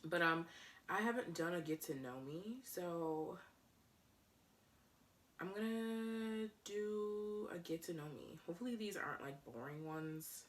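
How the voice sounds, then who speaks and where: A woman's voice: on the low side, animated, unhurried, distant mic. A woman in her early twenties from upstate New York, chatting casually and rambling with lots of ums and giggles as a vlogger. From Rochester, New York, USA. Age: 20s. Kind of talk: casual get-to-know-me chat.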